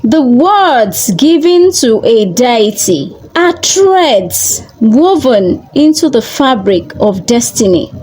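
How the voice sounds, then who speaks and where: A woman storyteller voicing a wise old male priest in a solemn, measured manner. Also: a little high, very animated, a little mellow, slightly rough, exaggerated voice.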